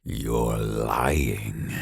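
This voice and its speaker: A man voicing a menacing horned monster in a storybook tale, with a slow, whispery, growling hiss. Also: rumbling low, steady tone, vocal fry, breathy edge, dry recording, studio quality, voice pushed down, exaggerated voice. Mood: hissing menace.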